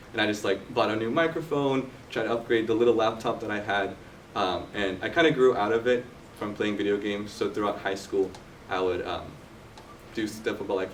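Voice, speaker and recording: A young man from Turkey, telling his story in a relaxed, chatty way with many fillers at a live podcast event. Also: fairly low pitch. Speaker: guest entrepreneur. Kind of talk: casual storytelling interview. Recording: distant mic with faint background noise.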